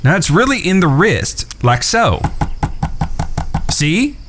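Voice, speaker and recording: A man playing a steady, watchful gunslinger in an audio drama, with plain, folksy speech. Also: high-pitched, takes it slowly, background noise, heavily acted. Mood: instructing. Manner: plain-spoken, folksy cowboy talk. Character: steady, watchful blind gunslinger brother, patient teacher. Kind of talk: western audio drama acting.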